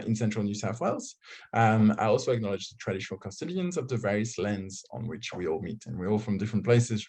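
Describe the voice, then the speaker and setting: A young man with a French accent hosts an online student panel from his own video call. He chats loosely and pokes fun at himself, with plenty of ums between his phrases. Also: on the low side. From France. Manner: chatty, self-joking, filler-heavy. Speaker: host. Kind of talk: casual online panel hosting.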